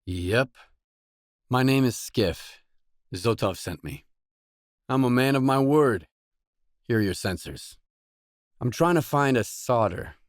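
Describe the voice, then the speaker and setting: A man's voice: medium pitch, lively intonation, takes it slowly, pauses often, no echo, studio quality. A man acting a guarded, terse drifter in a video game, speaking in a matter-of-fact way. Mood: terse, businesslike. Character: guarded, terse male drifter searching a dangerous zone. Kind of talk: video game dialogue lines.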